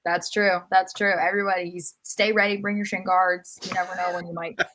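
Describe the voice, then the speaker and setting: A woman's voice: fairly low pitch, little pitch movement, fast, fairly bright tone, poor audio. A woman co-hosting a sports podcast, recapping matches in an informal, lightly joking conversational way. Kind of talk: casual match recap chat.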